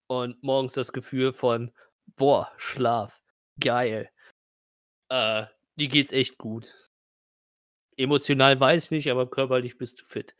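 A man, improvising colloquial game master narration in halting sentences. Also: medium pitch, a bit bright, clear, resonant tone, no room sound.

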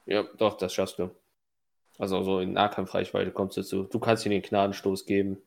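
A man running a tabletop role-playing game, improvising narration and casual rulings in a halting, hesitant way. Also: low-pitched, completely flat delivery, creaky, no echo. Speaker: game master.

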